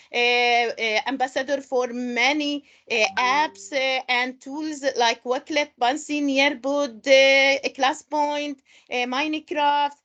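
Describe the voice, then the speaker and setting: A woman's voice: high voice, fairly bright tone, muffled recording. A woman from Palestine presenting an online teacher-training webinar, patiently greeting participants and repeating instructions.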